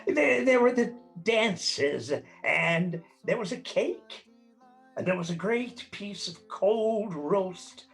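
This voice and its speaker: A man's voice: high voice, expressive, takes it slowly, vocal fry, soft background music, heavily acted. A man playing an old miser emotionally revisiting his past, exclaiming as he goes, in a radio play. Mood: delighted.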